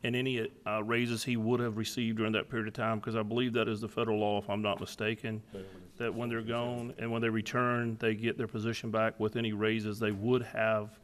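A man on the council with a low, flat voice. He sets out a legal point steadily, in a measured way, in a large chamber. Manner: measured, explaining. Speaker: council member.

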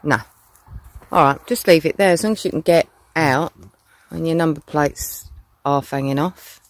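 A woman with a loud, shouty voice chatting casually to a handheld camera outdoors on rural land. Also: deep voice, normal speed, no room sound. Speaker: vlogger.

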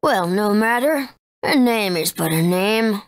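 Actress as arrogant game villain, mid-pitched, animated, unhurried, bitterly scornful, dry studio sound. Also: female voice, no room sound, close to an everyday voice. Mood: haughty, amused. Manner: imperious, theatrical, drawn-out. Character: haughty, bitter ruler of darkness who despises humans. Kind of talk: video game voice acting.

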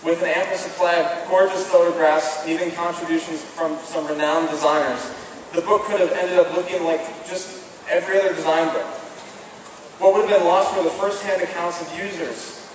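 A man delivers a commencement address in a measured, earnest voice that sits a little high for a man. He speaks at a podium in a big stone hall, and the sound comes from a distant camera, with strong echo, a long reverb tail and clear room noise underneath. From American Midwest. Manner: measured, earnest, deliberate phrasing. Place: large stone hall with flags, indoors. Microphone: podium microphone, filmed from far off. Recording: echoey hall from far off, audible background noise.

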